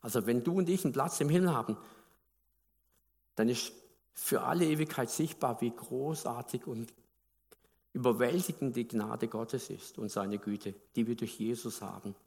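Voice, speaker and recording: A man's voice: medium pitch, moderate pace, a little mellow. Man preaching in a reverberant hall. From Baden, Germany. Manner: conversational, asks the congregation questions. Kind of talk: sermon.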